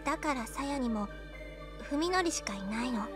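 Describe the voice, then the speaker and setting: A woman playing a tender, lonely, clinging young girl in a Japanese visual novel, speaking softly and affectionately. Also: high-pitched, expressive, fast talker. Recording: music under game voice clips.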